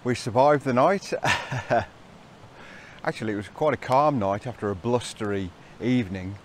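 A man's voice: medium pitch, moderate pace, slight background noise. Chatty middle-aged man hiking outdoors. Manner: chatty, upbeat, laughs between remarks. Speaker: hiking vlogger. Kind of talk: casual vlog narration. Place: outdoors on open fell hillside. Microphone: handheld camera at arm's length.

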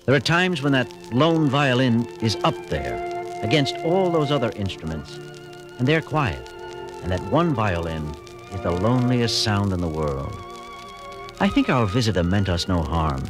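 A commanding starship captain with a very animated, dramatic delivery. Music plays clearly behind him in this old audio drama. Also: male voice, lowish voice. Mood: reflective. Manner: clipped commands, then slow reflective speech. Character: commanding male starship captain, resolute, reflective.

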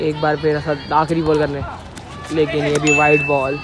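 A man's voice: on the high side, completely flat delivery, normal speed. A man giving ball-by-ball commentary on an amateur street cricket match, calling each delivery in a repetitive set pattern, recorded outdoors on a phone.

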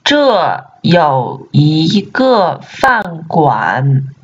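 A woman teacher reads vocabulary words and sentences aloud, repeating each one slowly and deliberately for learners. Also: low voice, very animated, slightly rough. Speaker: language teacher. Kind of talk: vocabulary drill reading. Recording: dull lo-fi sound.

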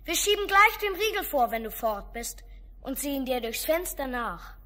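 The eldest little billy goat in a fairy-tale record. The voice is very high and bright, earnest and firm, like a watchful big brother. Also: female voice, sing-song, moderate pace, heavily acted. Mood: dutiful, assuring. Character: eldest little goat kid, male, cautious, protective. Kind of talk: German fairy-tale audio play acting.

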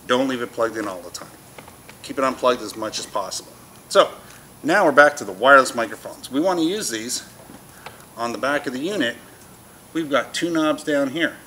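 A man's voice: medium pitch, pushed and bright. A man in his fifties giving a casual, folksy, plain-spoken product walkthrough as a shop demonstrator, in an indoor showroom. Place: store showroom with shelves, indoors. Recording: noisy showroom background hum.

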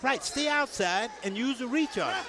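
A man's voice: very high-pitched, lively intonation, moderate pace. A man giving analytical live boxing commentary from ringside in an indoor arena. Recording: loud arena crowd behind voice.

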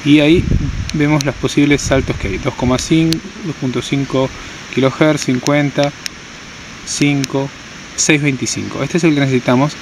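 A man's voice: medium pitch, fairly even intonation, moderate pace, noisy background. A man giving a hands-on tutorial outdoors, explaining step by step and repeating key terms. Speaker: tutorial presenter. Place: outdoors on stony ground.

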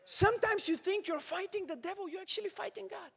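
A young man preaching a sermon to a congregation with a handheld mic, swinging from shouted calls to hushed asides. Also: very high-pitched, fast talker, gravelly, crowd noise. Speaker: pastor. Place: large church auditorium with congregation. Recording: long hall reverb from the sanctuary.

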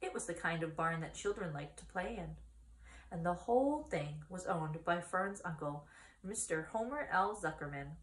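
A woman in her thirties reading a children's story aloud in a deliberate, expressive manner. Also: on the low side, roomy sound. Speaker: story reader. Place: small indoor room against a bare wall.

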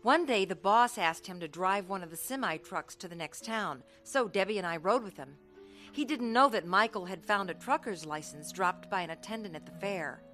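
An actress plays a young carnival woman telling her hard story in a radio drama. Her voice is bright and clear-cut, mid-pitched and earnest, with dramatic delivery. Faint music sits behind her. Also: lively intonation, normal speed, natural voice. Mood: reflective, earnest. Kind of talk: radio drama narration and dialogue.

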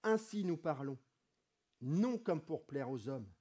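A middle-aged man with a cold-hoarse voice preaching in a conversational way from a lectern in a church hall. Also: a little high, expressive, takes it slowly, dark tone. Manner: conversational preaching, reads scripture with rising emphasis. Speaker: pastor. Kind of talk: sermon. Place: church hall with wooden wall, indoors.

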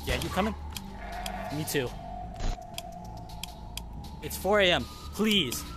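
A man hosting a VR horror let's play, excitable, yelping and shouting when scared. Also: a little high, slow pace. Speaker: gaming host. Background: game music.

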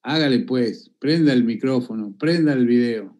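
A man's voice: mid-range voice, normal speed, a little mellow. A man hosting a video call interview, jovial and teasing, asking questions using vos. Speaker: interview host. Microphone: phone video call.

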